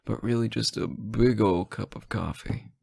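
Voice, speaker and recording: A man playing a sleep-deprived, teasing boyfriend on an intimate late-night call, soft-spoken, hesitant and gently joking. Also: fairly low pitch, expressive, takes it slowly, vocal fry, husky, dry recording, clean studio sound, full character voice. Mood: playful, fond. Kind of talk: intimate audio roleplay, one side of a phone call.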